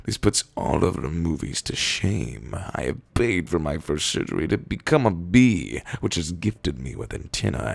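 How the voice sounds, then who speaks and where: Very deep, gravelly young man reading aloud. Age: young adult. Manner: foul-mouthed. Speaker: YouTube host reading internet posts aloud. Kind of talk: dramatic mock-serious reading and rambling commentary.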